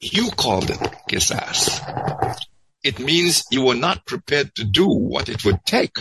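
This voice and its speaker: A man telling an anecdote in an interview, halting and repeating words as he searches, then landing them emphatically. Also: mid-range voice, very animated, background noise. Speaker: podcast guest.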